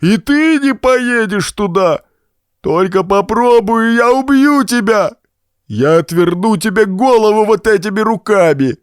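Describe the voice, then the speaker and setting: A hulking simple-minded brute, menacing and unhinged. The voice jumps up into a strained high shriek and bellows threats in slow, stretched bursts. It is a horror storybook performance with wild swings of pitch. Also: male voice, expressive, clear, resonant tone, no room sound, studio quality. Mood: raging.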